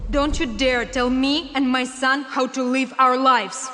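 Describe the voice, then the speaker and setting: A woman's voice: high voice, flat delivery, measured pace, big-room sound, background noise. A woman playing an indignant, protective young mother in an audio drama. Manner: incredulous, indignant. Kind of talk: dramatised audio story dialogue.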